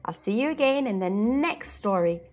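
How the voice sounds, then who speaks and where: A woman reading a children's storybook aloud as host, expressively and with deliberate enunciation. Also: medium pitch, expressive, a little mellow.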